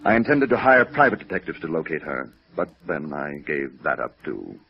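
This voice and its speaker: A man playing a proud, lovelorn older gentleman in a 1950s radio drama, formal and defensive, with bitterness underneath. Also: very deep voice, sing-song, moderate pace, vocal fry, full character voice. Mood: defensive, pained. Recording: muffled vintage radio recording.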